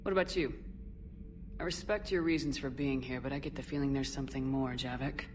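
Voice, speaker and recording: A resolute female military commander with a notably low voice. She speaks in a measured, terse, sincere way in cinematic game dialogue, with clear ambient noise behind her. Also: steady tone. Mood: reflective.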